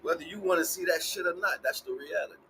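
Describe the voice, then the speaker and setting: A man's voice: high-pitched, fast, bright, forceful tone, slight background noise, rough recording. A man giving a rambling motivational spiritual talk in casual, sweary street slang, emphatic and repeating his phrases. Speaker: livestream spiritual teacher.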